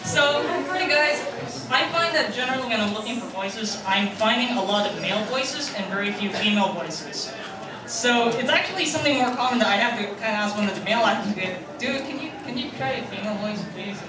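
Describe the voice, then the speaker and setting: A woman's voice: lively intonation, a little fast. Woman panelist in a very echoey hall. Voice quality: somewhat low natural speaking voice, pitched down by habit. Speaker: convention panelist and amateur anime voice actor. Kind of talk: panel talk with audience questions. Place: large echoing convention panel room. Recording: echoey hall, distant mic, clear background noise.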